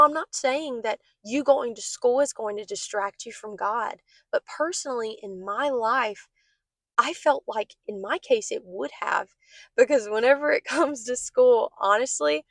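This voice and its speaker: Hoarse young woman, earnest devotional talk. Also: fairly high pitch, no room sound. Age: young adult. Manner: earnest, encouraging, speaks straight to viewers. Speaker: host of a faith channel. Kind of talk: casual devotional talk to camera.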